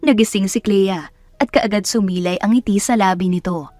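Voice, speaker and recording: A woman's voice: medium pitch, moderate pace, a little mellow, dry recording, soft background music. A woman with a Filipino accent narrating a romance story as a dramatic scripted storyteller.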